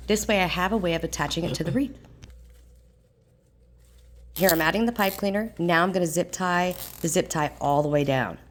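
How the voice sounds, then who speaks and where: Low-voiced woman with a stuffy voice. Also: moderate pace, pauses often, a little hiss or hum. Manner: calm, plain, practical explaining. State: slightly congested voice. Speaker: craft tutorial host. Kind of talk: step-by-step craft instruction.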